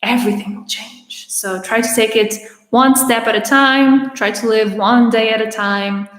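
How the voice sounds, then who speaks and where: A woman in her twenties with a raspy voice, hosting a casual Q&A livestream and chatting through viewer questions with a few restarts, speaking with some strain. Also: a little high, fairly even intonation, a little slow. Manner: chatty, with frequent restarts and laughter. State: having trouble speaking. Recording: roomy echo with long reverb.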